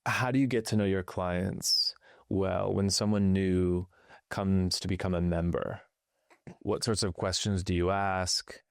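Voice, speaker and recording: A male podcast host with a low voice asks his guest questions, feeling his way through his phrasing. He often strings several questions together. The sound is dry, with no room echo. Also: fairly even intonation, measured pace, vocal fry. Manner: loose, searching phrasing, stacks several questions. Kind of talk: interview questions.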